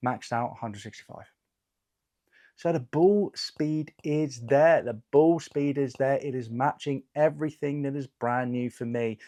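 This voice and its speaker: A man with a croaky voice from a cold, hosting a casual golf equipment review, chatty and reading out figures with lots of fillers. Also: mid-range voice, a little mellow. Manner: chatty, lots of "you know" and "um", reads out numbers. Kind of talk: casual product review talk.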